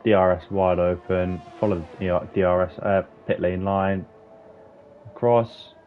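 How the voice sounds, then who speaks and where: A man giving casual, excitable commentary on a sim racing qualifying session, swearing freely and bantering with his co-host. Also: low-pitched, unhurried. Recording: dull lo-fi stream audio with faint hiss.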